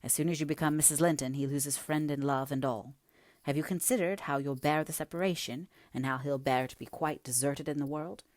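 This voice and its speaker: A woman voicing a stern, disapproving servant who scolds her young mistress in a brisk, emphatic audiobook reading. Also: sits low, fairly even intonation, a little mellow, no echo, studio quality, natural voice. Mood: sceptical, reproving. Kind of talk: dramatic audiobook character reading.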